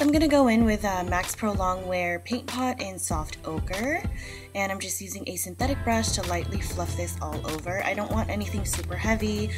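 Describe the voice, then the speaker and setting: Young woman, blocked-up nose, chatty slangy makeup talk, mid pitch, little bit of music underneath. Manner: chatty, slangy, full of fillers and asides. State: stuffy nose from a cold. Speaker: beauty vlogger and makeup artist. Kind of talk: casual chatty makeup tutorial.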